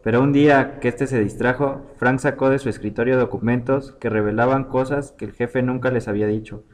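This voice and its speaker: A man's voice: mid-range voice, steady tone, fairly quick, darkish tone. A man reading a scripted short story aloud as its storyteller.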